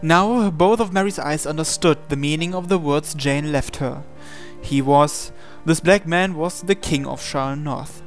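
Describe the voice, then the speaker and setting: A man narrates a visual novel dramatically from the script. His voice sits a little high for a man. Soft music runs behind the reading. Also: measured pace.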